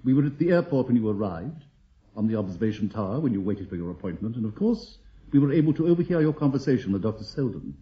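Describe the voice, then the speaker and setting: A British actor playing an insistent state interrogator, officiously polite and quietly menacing, in a radio drama. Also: male voice, on the low side, sing-song, quick pace. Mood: cold menace. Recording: dull lo-fi radio drama recording.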